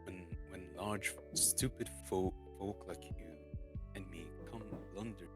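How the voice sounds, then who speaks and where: A man reading a storybook aloud in a halting way, stumbling and repeating words as he goes. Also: sits low, steady tone. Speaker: streamer reading a novel aloud. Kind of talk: dramatic storybook reading, unrehearsed. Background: soft lofi guitar music.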